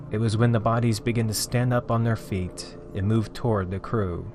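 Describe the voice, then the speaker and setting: Horror narrator: male, fairly deep voice, dramatic and suspenseful scripted delivery, prominent music underneath. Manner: suspenseful, theatrical, with drawn-out emphatic phrases.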